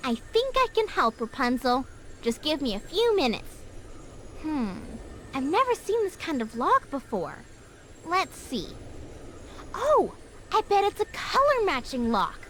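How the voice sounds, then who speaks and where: A woman voicing an eager, helpful and earnest young girl with magic in an animated game. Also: high voice, a little slow, slight background noise, full character voice. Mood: curious, confident.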